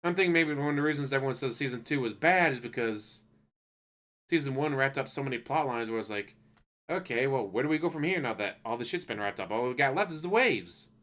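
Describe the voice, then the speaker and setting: A man giving a loose, profane spoken review of an anime, ranting casually and acting out characters in quick asides. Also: mid-range voice, animated, a bit bright.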